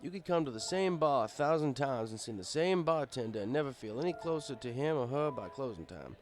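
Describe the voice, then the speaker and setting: A man's voice: mid-range voice, animated, a little fast, noisy background, close to an everyday voice. A man playing an easygoing, fatherly pony bartender who tells his story in a wry, conversational way. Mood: reflective. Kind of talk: first-person audiobook narration in character.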